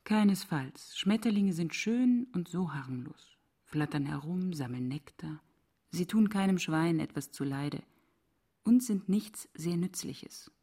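An actress playing a defiant, sardonic woman scholar in a radio play, speaking deliberately and provocatively. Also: lowish voice, lively intonation, warm tone, slightly rough. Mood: cool, mocking.

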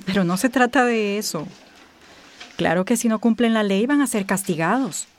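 An actress playing a stern, authoritative town mayor in a radio drama, speaking firmly and commandingly. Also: female voice, mid-range voice, a little hiss or hum, close to an everyday voice. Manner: firm, measured, commanding.

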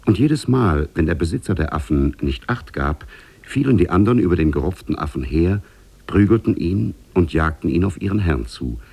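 A man narrating an Arabian Nights tale in a 1950s radio play, measured and deliberate. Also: low-pitched, lively intonation, gravelly, dark tone, breathy edge, full character voice.

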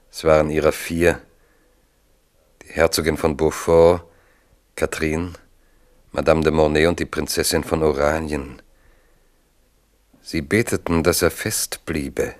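Very deep-voiced male narrator. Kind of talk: scripted narration.

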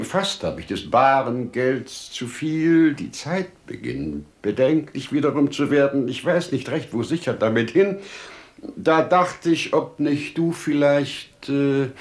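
An older actor playing a wise, humble old merchant in a 1950s German radio drama, with measured, declamatory verse delivery. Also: male voice, on the high side. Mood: deferential, hesitant.

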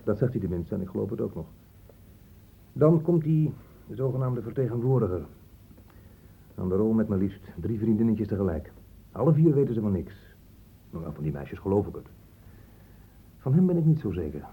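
An actor playing a gruff, hard-line police inspector in a radio drama, blunt and sarcastic, with emphatic delivery. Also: male voice, fairly low pitch, animated, moderate pace, long pauses, narrow, tinny audio, full character voice. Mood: matter-of-fact.

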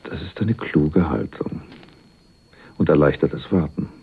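An actor playing a sly, cynical go-between with a wry, teasing way of talking in a German radio crime drama. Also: male voice, very deep voice, a little slow, vocal fry, breathy edge, heavily acted. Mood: wry, flirtatious. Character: cynical, sly male go-between, cheekily flirtatious. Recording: muffled, phone-like 1980s radio recording.

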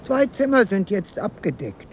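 A woman plays a brisk caretaker, a lighthouse keeper's wife in a radio play. She speaks in a plain, matter-of-fact way, with faint ambience behind her. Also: medium pitch, big pitch swings, darkish tone, slight background noise, close to an everyday voice, low-quality recording. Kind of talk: radio drama dialogue.

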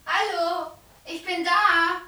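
An exasperated wife in a radio play. Her voice is high, and she speaks with reproach and indignation, as a woman fed up with her husband. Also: steady tone, slow, sharp tone, slight background noise, heavily acted. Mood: dismayed. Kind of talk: German radio play dialogue.

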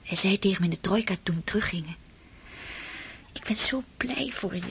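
Wistful young girl, breathy and gravelly whisper, lilting. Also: mid-range voice, fairly quick. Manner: hushed whispering. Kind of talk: radio drama acting.

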